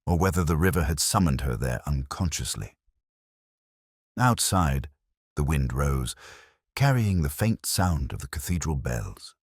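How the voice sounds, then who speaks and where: A man reading scripted first-person mystery narration in a measured, literary, melancholy manner. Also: a bass voice, husky, no room sound, clean studio sound.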